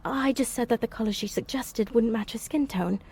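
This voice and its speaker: A woman playing a meek servant, hesitant and deferential, with a husky, hushed voice, in an audio roleplay. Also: fairly high pitch, brisk. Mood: nervous, submissive. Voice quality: husky, breathy, creaky. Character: meek nervous female servant, submissive.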